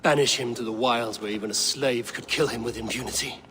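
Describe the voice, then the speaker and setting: An actor playing a blunt, defiant Viking warrior in a video game, terse and scornful. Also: male voice, medium pitch, fairly even intonation, brisk, sharp tone, close to an everyday voice. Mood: grim scorn. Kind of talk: dramatic video game acting.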